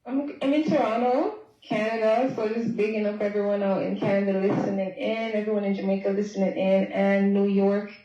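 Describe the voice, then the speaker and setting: A woman's voice: medium pitch, flat delivery, a little fast, echoey room, dull audio. A woman from Guyana, chatting easygoing as a musician guest on a call-in interview. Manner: easygoing, chatty, speaks in friendly shout-outs.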